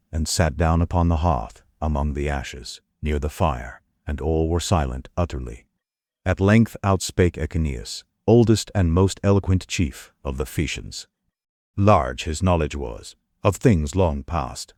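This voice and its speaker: Male audiobook narrator, very low voice, dramatic formal storytelling, close dry recording. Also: animated, unhurried, a little mellow, no room sound. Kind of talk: dramatic scripted epic narration.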